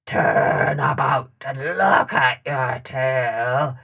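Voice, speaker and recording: Clever small male frog posing as king, female performer, storybook bluster, slow and grand. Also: extremely low pitch, voice pushed down, exaggerated voice. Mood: pompous, bluffing. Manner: pompous, loud, deliberate. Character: clever little male green frog, bluffing pompous king. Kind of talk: children's storybook character voice.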